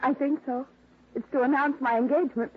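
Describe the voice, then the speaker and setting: A woman's voice: high-pitched, steady tone, brisk, a little hiss or hum, natural voice. A woman playing a cheerful young bride-to-be. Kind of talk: 1950s radio drama acting. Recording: tinny old broadcast with party crowd.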